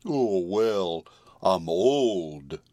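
A man's voice: lowish voice, very animated, normal speed, dry recording, studio quality. A man voicing a weary, scornful old male horse in a storybook reading, grumbling as he talks. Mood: despondent.

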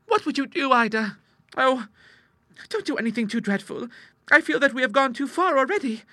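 A man voicing a gentle, anxious young lady in an audiobook, pleading and worried in manner. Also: very high-pitched, animated, normal speed, dry recording, studio quality, voice pushed up, heavily acted. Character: gentle, anxious young lady, reluctant soft-hearted daughter. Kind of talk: audiobook character acting.

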